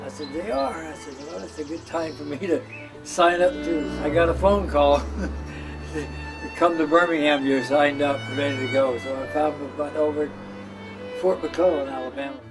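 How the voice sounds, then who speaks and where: Older man reminiscing over loud fiddle music. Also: on the high side, fairly even intonation, normal speed. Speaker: former work-camp member giving testimony. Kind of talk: informal oral-history recollection.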